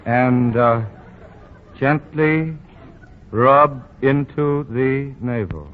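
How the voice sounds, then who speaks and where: A man's voice: medium pitch, extremely slow, some chatter behind, a little hiss or hum. An actor playing a self-assured young male store boss in a 1940s radio drama who is pompous and stammers and chuckles. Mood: insistent. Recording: dull 1940 radio broadcast.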